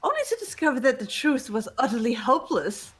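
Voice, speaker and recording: A woman's voice: high voice, sing-song, brisk, breathy edge, full character voice. A woman voicing a haughty, gloating young female mastermind in a fan-dubbed video game, grandiose and mocking. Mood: taunting.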